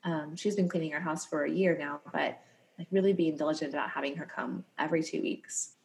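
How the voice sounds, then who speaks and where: A woman's voice: low-pitched, steady tone, fairly quick, vocal fry. A woman in her thirties co-hosting a podcast in casual chat, her voice scratchy and tired, talking in an upbeat, frank way. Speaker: podcast co-host.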